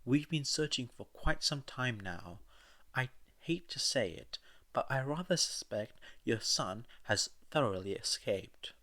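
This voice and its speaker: A man voicing a courteous, diplomatic gentleman villain with formal, polite manners. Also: mid-range voice, expressive, measured pace, voice pushed up. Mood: apologetic.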